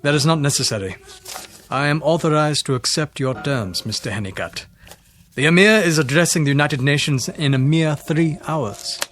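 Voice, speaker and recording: Radio drama role: man playing courteous, exacting male envoy from abroad, lighter-pitched, formal phrasing. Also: moderate pace, fairly bright tone, natural voice. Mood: businesslike. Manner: courteous, precise, formal diction. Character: polite, formal foreign male aide to an emir.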